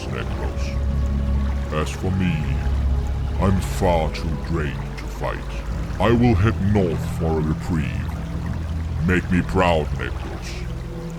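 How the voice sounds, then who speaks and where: An actor playing a weary, commanding orc chieftain in a video game, grave and authoritative. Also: male voice, low voice.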